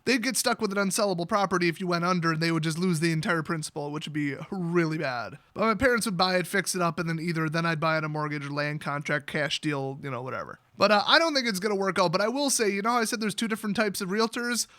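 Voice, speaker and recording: High-pitched, bright-voiced American man. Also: fast, hardly any pauses. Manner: sarcastic, animated, jokey exaggeration. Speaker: YouTuber telling a personal story. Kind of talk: casual rambling storytelling.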